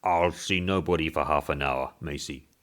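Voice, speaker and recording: A man's voice: a bass voice, moderate pace, a bit bright. A man voicing a self-important boss in a storybook reading, firm and curt. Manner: curt, commanding. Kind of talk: short story character voice.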